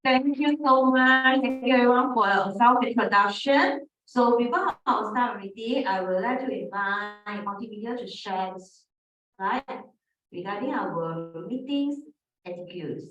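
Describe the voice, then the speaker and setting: A woman hosting a club meeting, reading the rules and introducing speakers in slow, halting English. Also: a little high, fairly bright tone. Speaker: meeting host. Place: club office room, recorded from afar. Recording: echoey, distant, dull room recording.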